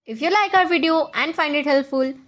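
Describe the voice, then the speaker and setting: A woman presenting a scripted software tutorial, giving step-by-step instructions straight to the viewer. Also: sits high, fairly quick.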